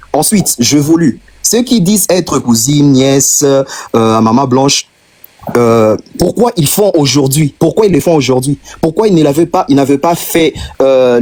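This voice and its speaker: Man, congolese french accent, husky voice, mid pitch, insistent, rhetorical questions, live-stream debate. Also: a little mellow. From Democratic Republic of the Congo. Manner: rhetorical, insistent, repeats phrases for emphasis. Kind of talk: impassioned argument on a live stream.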